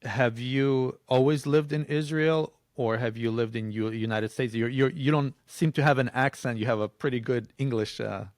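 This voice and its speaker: A man hosts a casual interview podcast in a mid-pitched voice. He phrases his questions tentatively, feeling his way through them. The sound is dry, with no room echo. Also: steady tone, moderate pace, hardly any pauses. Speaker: podcast host.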